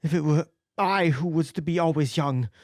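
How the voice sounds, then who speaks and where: A man's voice: a little high, normal speed, darkish tone, no echo, studio quality, pitched above the performer's own voice, close to an everyday voice. A man voicing an anguished vain young man. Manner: emotional, pleading, rising to outbursts. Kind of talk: audiobook character reading.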